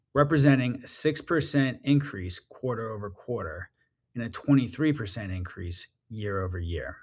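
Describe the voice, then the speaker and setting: A man speaking as a chief financial officer, reading scripted financial results in a formal, methodical way. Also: medium pitch.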